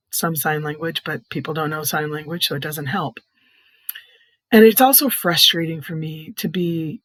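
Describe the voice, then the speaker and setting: A middle-aged or older woman with a soft, hoarse, breathy voice, giving an earnest personal testimony in plain, explanatory words. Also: fairly low pitch, no echo. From Iowa, United States. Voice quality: soft, hoarse, breathy voice from vocal cord growths. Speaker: patient advocate, child care nurse consultant.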